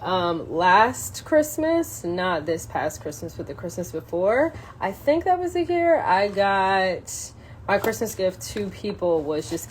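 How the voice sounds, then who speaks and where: A woman's voice: mid-range voice, slight background noise. A young adult woman talking informally on a livestream, rambling in slangy, hesitant, self-conscious speech. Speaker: livestreamer introducing a book reading. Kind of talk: casual, unscripted livestream chat.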